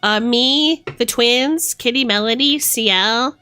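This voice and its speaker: A woman's voice: a little high, slow, pushed and bright, soft background music. A woman livestreamer chatting casually and affectionately, thinking aloud in a playful way as she reads tarot cards.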